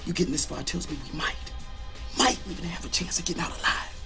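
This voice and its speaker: An actor playing a jittery, paranoid American radio disc jockey in a video game, with an urgent, stammering delivery. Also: male voice, on the high side, steady tone, fast, vocal fry, over loud music. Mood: urgent, nervous.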